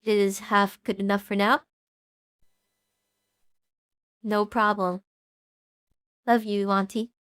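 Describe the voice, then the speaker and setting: A woman playing a gentle, apologetic young woman in an audio drama, soft-spoken and hesitant, often trailing off. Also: medium pitch, little pitch movement, measured pace, smooth, dry recording, studio quality, lightly acted. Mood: affectionate, subdued. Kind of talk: animatic audio drama acting, naturalistic dialogue.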